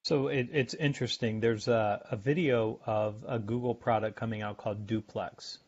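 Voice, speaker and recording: A man's voice: lowish voice, fairly even intonation, normal speed, breathy edge. Dull-sounding man explaining with punchy emphasis. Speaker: guest expert on sales. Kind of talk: conversational explanation in a podcast interview.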